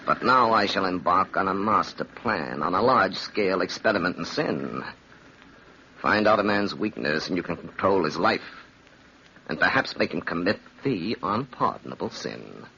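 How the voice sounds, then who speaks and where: A man's voice: low voice, lively intonation, moderate pace, vocal fry, sharp tone, exaggerated voice. An actor playing a cynical, wicked man who relishes his evil, in a theatrical, grandiose, taunting manner in an old-time radio drama. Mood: cold, scheming. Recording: dull, hissy old radio recording.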